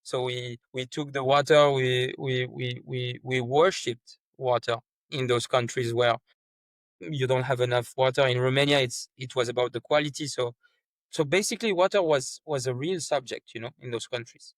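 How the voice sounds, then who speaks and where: A man's voice: medium pitch, fairly even intonation, moderate pace, no echo, poor audio. Brief: man, earnest guest, stumbles and restarts, dry close sound. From France. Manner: earnest, repeats words and restarts often. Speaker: startup founder, guest. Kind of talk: conversational interview.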